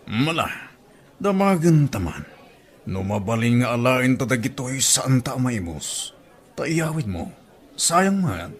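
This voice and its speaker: A man's voice: medium pitch, big pitch swings, slow pace, pauses often. An actor with an Ilocano Filipino accent playing a pushy, jolly man who urges others on in a radio comedy drama. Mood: coaxing. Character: pushy, jolly male buddy urging others on.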